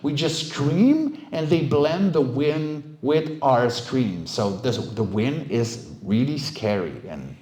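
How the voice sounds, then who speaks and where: In a roomy, echoing space, a middle-aged man gives an informal talk. His voice sits at a middle pitch, and he tells his stories in an animated, conversational way, like a working performer and coach addressing a meetup. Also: slow, few pauses. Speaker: voice actor, director and coach giving a talk. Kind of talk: informal conference talk, career anecdotes. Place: indoor event room, live talk. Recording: roomy, echoey live talk recording.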